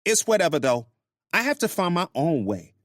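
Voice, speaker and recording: A man doing a high sassy young woman, New York Puerto Rican accent, sharp-tongued cleaner, dry close sound. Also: big pitch swings, normal speed, no echo. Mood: griping. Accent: New York with Puerto Rican Spanish touch. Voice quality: attitude-laden, sharp-tongued.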